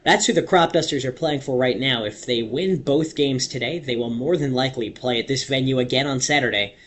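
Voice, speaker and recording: A man's voice: medium pitch, a bit bright, a little hiss or hum. A young man calling baseball play-by-play in a radio commentary style, stretching big moments dramatically. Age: young adult. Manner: dramatic, drawn-out calls on big plays.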